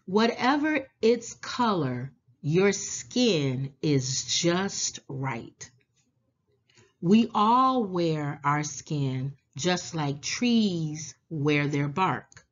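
Slow, expressive woman reading a storybook. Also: lowish voice, lo-fi sound. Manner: deliberate, animated, with drawn-out words and pauses. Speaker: children's book read-aloud host. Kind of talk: expressive storybook read-aloud for young children.

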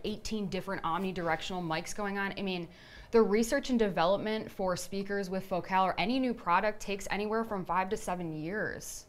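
A woman with a medium-pitched voice co-presents a technical product review. Her delivery is informal and enthusiastic, like a casual conversation about gear she knows well.

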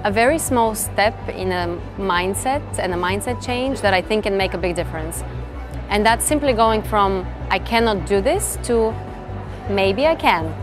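A woman in her thirties from Bulgaria giving measured, reflective interview answers with some fillers and restarts. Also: medium pitch, expressive. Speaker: interviewee, tech executive. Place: event interview set, indoors. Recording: music bed behind voice.

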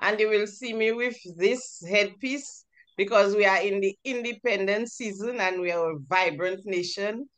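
Female speaker, mid pitch, formal introduction over a video call. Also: normal speed, fairly bright tone. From Dominica. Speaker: club meeting speaker.

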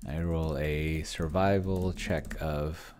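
A man's voice: rumbling low, fairly even intonation, slow. A middle-aged man thinking aloud in casual commentary as he plays a solo game live, muttering to himself while typing at his computer. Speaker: solo roleplaying player and show host. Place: indoor room at a computer. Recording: keyboard typing and clicking noise.

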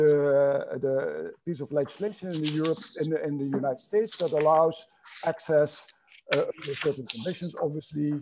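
Halting older man moderating, dull call audio. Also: a little high, mellow. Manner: halting, hesitant phrasing. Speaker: panel moderator. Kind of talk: unscripted panel moderation. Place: indoors at home, on video call.

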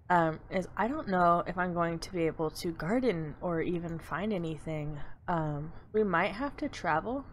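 A woman giving casual, rambling commentary as she plays a life-sim game, her voice slightly raspy and crackly, with many ums and likes. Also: lowish voice. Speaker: gameplay YouTuber.

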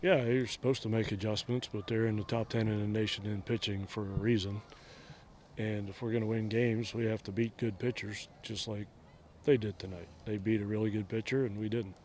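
A man's voice: fairly low pitch, steady tone, a little fast, a little mellow, a little hiss or hum. A middle-aged man, a head baseball coach, giving short blunt answers in a postgame interview outdoors at a ballpark at night. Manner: blunt, terse, matter-of-fact answers.

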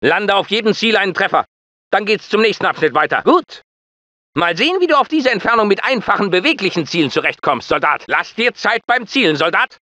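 A man's voice: high-pitched, expressive, brisk, sharp tone, dry recording. A man playing a commanding, insulting drill sergeant with clipped delivery in a German-dubbed video game. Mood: instructive, firm. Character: hard-barking, insulting military drill sergeant, adult man. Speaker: drill instructor.

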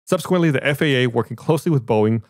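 A man's voice: mid-range voice, lively intonation, fast talker, dry recording, clean studio sound. An American man hosting a podcast, reading out and explaining a report in a matter-of-fact way. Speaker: podcast host.

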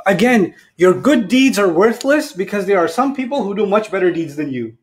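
This is a man around his forties with a notably high voice. He preaches like someone chatting across a table, animated and colloquial. He keeps tossing out rhetorical questions and slipping into acted-out asides. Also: normal speed. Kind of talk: conversational sermon with acted-out asides. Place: indoors at a lectern. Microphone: lapel mic.

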